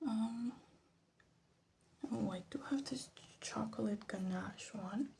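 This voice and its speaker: Woman, ASMR host: hushed, half-whispered and crackly with fry, thinking aloud, faint noise on a phone recording. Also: on the high side, slow pace. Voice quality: soft, breathy half-whisper with creaky fry. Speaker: vlog host. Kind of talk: ASMR casual commentary. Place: her bedroom.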